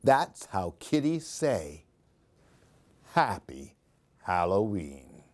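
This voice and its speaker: A man tells a picture-book story for young children. His delivery is slow and sing-song, with a very lively pitch that rises and dips. He talks directly to his little listeners. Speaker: storyteller reading picture books for a library program. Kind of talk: expressive children's story reading.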